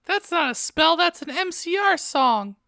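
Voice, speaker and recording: Woman doing snarky mad goth teen girl, high bright voice, scornful, dry close sound, audiobook. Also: lively intonation, unhurried, creaky, dry recording, heavily acted. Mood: snippy, dismissive. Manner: snippy, scornful.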